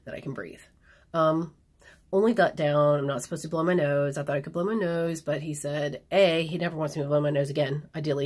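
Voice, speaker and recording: Low husky woman vlogging casually. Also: darkish tone. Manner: chatty, frank, rambling with fillers. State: hoarse after surgery. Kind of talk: casual video diary.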